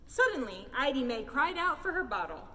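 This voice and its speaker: A woman reads a children's story aloud with big swoops in pitch and a theatrical delivery. Her voice is high, and it rings in a large echoing space, away from the mic with faint noise behind. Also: moderate pace. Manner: theatrical, expressive. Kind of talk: animated children's picture book read-aloud. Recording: roomy hall, distant mic, faint noise.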